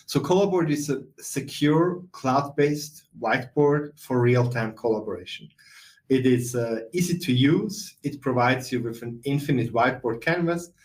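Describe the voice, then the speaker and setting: A middle-aged man with a Swiss accent, presenting a software webinar in a steady explanatory way with some fillers. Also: mid-range voice. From Switzerland. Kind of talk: webinar walkthrough.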